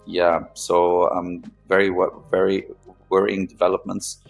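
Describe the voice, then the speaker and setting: Deep, gravelly man speaking hesitantly. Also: level tone, soft background music, rough recording. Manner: hesitant, pausing often between phrases. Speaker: podcast guest, philanthropist. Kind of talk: interview conversation, recounting own experience.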